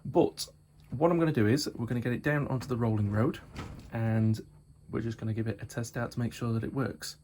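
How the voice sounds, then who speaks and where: Guy talking through his new model train, a bit croaky, kinda tired, mid-pitched, lots of ums. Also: steady tone, fairly quick, few pauses, a little mellow, slight background noise. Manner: chatty, repeats praise words, many fillers. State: croaky from hay fever and little sleep. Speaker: model railway hobbyist reviewer. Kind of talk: casual unboxing and test-run commentary.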